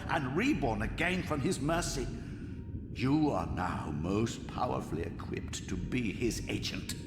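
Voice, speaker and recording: An actor playing a sly, pious old man in a video game, an oily schemer with a creaky, aged, grandiloquent delivery. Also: a little high, noisy background. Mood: fervent, flattering. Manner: oily, pleading, grandiloquent, swooping. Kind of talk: theatrical game cutscene acting. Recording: echoey hall reverb, music underneath. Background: game score.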